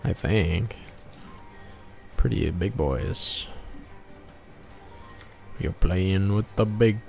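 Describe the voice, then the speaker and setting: Low-voiced man chatting casually on stream. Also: extremely slow, vocal fry, a little mellow, faint music behind. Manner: laid-back, thinking aloud while drawing, muttering asides. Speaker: streamer, indie game developer. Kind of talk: casual art livestream chat.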